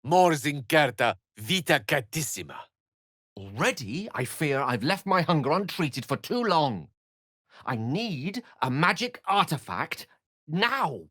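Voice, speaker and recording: An actor playing an eloquent, wry wizard in a video game, speaking in articulate, theatrical phrases with an earnest streak. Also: male voice, a little high, sing-song, measured pace, pushed and bright, no echo, clean studio sound, exaggerated voice. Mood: strained, pressing. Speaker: video game companion character. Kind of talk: scripted video game voice acting.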